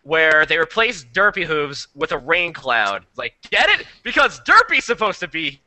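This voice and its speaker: A man's voice: a little high, very animated, measured pace, sharp tone. A man in a casual, opinionated podcast discussion, speaking in an exasperated, emphatic and mocking way. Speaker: podcast panelist.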